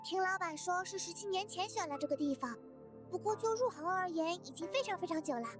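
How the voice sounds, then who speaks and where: Very high-pitched cheerful little girl, music behind. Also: animated, fast talker. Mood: cheerful, explaining. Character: cheerful young girl shopkeeper's helper, eager and chatty. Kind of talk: visual novel voice acting.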